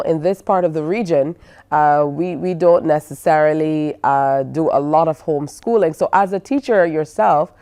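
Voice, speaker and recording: A woman's voice: lowish voice, mellow. A woman hosting a morning TV show, asking a guest chatty questions with plenty of filler words. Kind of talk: interviewing a guest.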